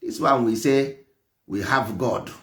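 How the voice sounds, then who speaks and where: A man's voice: medium pitch, slow pace, a bit bright. A man with an Igbo Nigerian accent and Igbo as his first language, preaching and lecturing with passion, stressing points through repetition and rhetorical questions. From Nigeria, Igboland. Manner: emphatic, repeats phrases, rhetorical questions. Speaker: traditional religion teacher.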